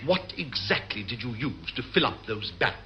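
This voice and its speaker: Man as an exasperated, animated British naval officer. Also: mid-range voice, fairly quick, close to an everyday voice. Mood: indignant. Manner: indignant, formal. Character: exasperated, stiff male navy officer. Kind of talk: classic British radio sitcom acting. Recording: noisy old radio comedy recording.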